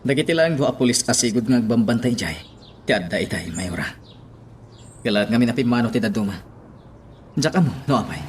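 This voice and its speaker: Earnest Ilocano priest with lively intonation acted by a man. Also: medium pitch, a little fast, pauses often, slight background noise, close to an everyday voice. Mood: concerned. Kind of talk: Ilocano radio drama acting.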